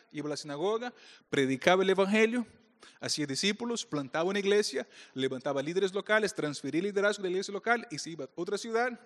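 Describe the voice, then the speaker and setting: A man's voice: a little high, a bit bright. A middle-aged man from Brazil, a native Portuguese speaker with a Brazilian accent, giving a playful conference talk as a speaker for a church group. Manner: joking, playful with the audience. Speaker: pastor speaker. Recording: long hall reverb.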